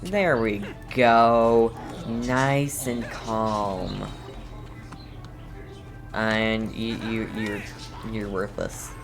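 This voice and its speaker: A man giving casual, playful gameplay commentary as he streams, often halting and muttering to himself. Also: on the low side, fairly even intonation, over music. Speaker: streamer playing a video game. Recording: game ambience clearly behind the voice.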